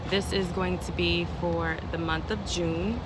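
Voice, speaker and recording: A woman giving a casual, unedited tarot reading outdoors, talking in a chatty, meandering way, her voice a little hoarse. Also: on the low side, steady tone, unhurried. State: slightly hoarse, recovering from lost voice. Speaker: tarot reader. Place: outdoors in a city, traffic nearby. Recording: outdoor traffic noise.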